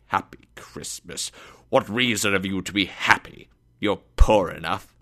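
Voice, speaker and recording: A man's voice: sits low, normal speed, a bit bright. A man voicing a grumpy, miserly older uncle in a storybook reading, curt, bitter and scornful.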